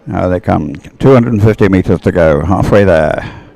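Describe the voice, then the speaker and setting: A man with a fairly low voice commentates live on a race. His delivery is low-key and halting, coming in short broken phrases with gaps between them. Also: gravelly, a little mellow, dry recording.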